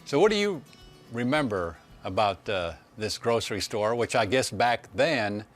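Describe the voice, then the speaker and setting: A man hosting a TV interview, asking gentle, prompting questions and narrating between them. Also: lowish voice, animated, measured pace, faint music behind. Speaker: TV host and interviewer.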